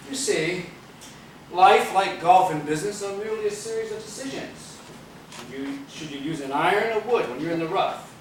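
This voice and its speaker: Guy acting pompous immortal ceo lecturing in a high voice, stage play, super echoey and noisy tape. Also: distant mic. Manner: pompous, lecturing, rhetorical questions. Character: pompous immortal corporate chief obsessed with golf and control. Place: theater stage, picked up from a distance.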